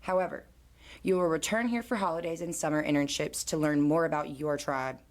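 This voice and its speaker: Elderly female chief and grandmother played by a woman, proud, formal, measured, slightly low register, audio drama. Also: fairly even intonation, natural voice. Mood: stern.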